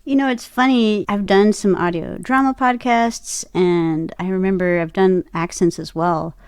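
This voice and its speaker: A woman chatting casually as an interview guest, telling stories in an animated way and acting out her own reactions. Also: medium pitch, unhurried, clean tone, no echo. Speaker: guest, voice actor and podcaster.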